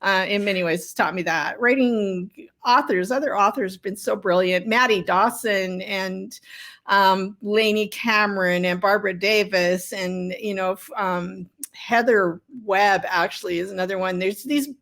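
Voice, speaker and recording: A middle-aged woman speaks as an interview guest in a casual, conversational style. Her pitch sits in the middle for a woman. She is effusive and openly enthusiastic as she talks. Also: unhurried, a bit bright. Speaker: guest novelist and writing coach.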